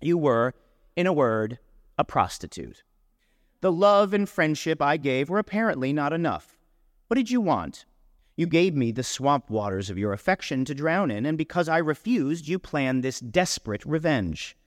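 A man's voice: a little high, very animated, normal speed, no echo, studio quality, long reverb tail, voice pushed up. A man voicing a self-righteous, wounded young man in a short story, declaiming a letter in a pompous, indignant, oratorical manner.

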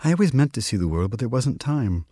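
A man voicing a friendly, wistful old lady in a story reading, with a gentle, chatty manner. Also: mid-range voice, big pitch swings, quick pace, dry recording. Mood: wistful, flustered. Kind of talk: literary story reading with character dialogue.

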